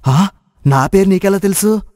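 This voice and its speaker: A man playing a naive, excitable village cowherd in a moral story, exclaiming and questioning. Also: high-pitched, moderate pace, dry recording, clean studio sound, long reverb tail, close to an everyday voice. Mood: puzzled, eager. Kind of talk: Telugu moral story voice acting.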